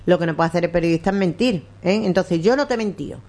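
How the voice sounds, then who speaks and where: A woman's voice: fairly low pitch, quick pace, a little mellow. Chatty woman in her sixties. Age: 60s. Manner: chatty, rambling, teasing. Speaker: radio host. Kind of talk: casual local radio chat.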